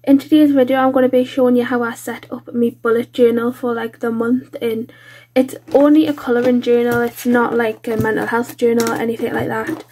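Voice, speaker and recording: A woman's voice: on the high side, flat delivery, a little fast, dark tone, a little hiss or hum. A British woman with a blocked-up nose from a cold, chatting casually and informally as she hosts a craft vlog. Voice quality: congested, nasal. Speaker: colouring channel host.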